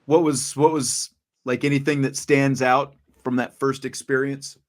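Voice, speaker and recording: A man's voice: medium pitch, level tone, unhurried. A man with a mid-range voice asks easygoing questions, often restarting them as he goes. His manner is conversational and friendly, with the ease of a seasoned radio announcer. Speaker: interviewer and radio play-by-play announcer. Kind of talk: conversational interview.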